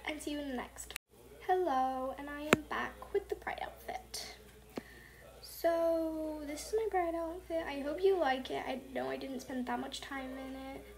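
A young woman hosting a casual gameplay video, talking softly and half-whispered, rambling and apologizing, recorded at home. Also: sits high, a little slow, creaky. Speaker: gaming channel host. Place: home with family noise.

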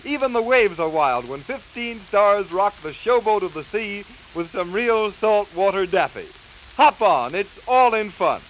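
A man delivering scripted 1930s promotional announcements with brisk, theatrical showman's hype. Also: high voice, lively intonation. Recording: hissy, crackly 1930s 78 rpm record. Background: record hiss and crackle.

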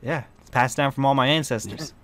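A man's voice: mid-range voice, fairly quick, fairly bright tone, natural voice. A man playing a sarcastic, vengeful warlock with a mocking, theatrical manner in an improvised video game roleplay. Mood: taunting. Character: sarcastic, vengeful male warlock leading a coven. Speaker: roleplay actor. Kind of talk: improvised Minecraft supernatural roleplay. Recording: music behind the voice.

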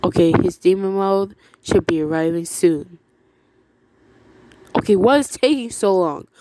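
A woman's voice: fairly low pitch, expressive, unhurried, mellow, a little hiss or hum, poor audio. A woman giving theatrical, exclamatory reactions as a player in a horror parody.

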